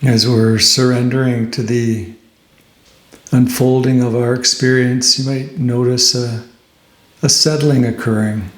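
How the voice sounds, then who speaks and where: A man leading a guided meditation as a teacher, speaking gently and unhurriedly, with pauses between phrases. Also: mid-range voice, steady tone, reverberant, poor audio.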